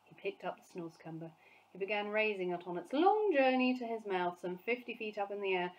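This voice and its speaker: A woman teacher reading a children's storybook aloud, clear and expressive. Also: medium pitch, brisk, dull audio.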